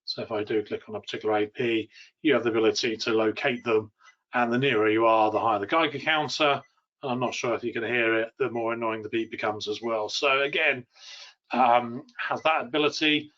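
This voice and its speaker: A man's voice: medium pitch, fairly quick, a bit bright. The recording is a little dull and lo-fi. A man talks as a technical trainer giving a live product demo. His explanations are informal and loosely phrased, with a hesitant way of getting to each point.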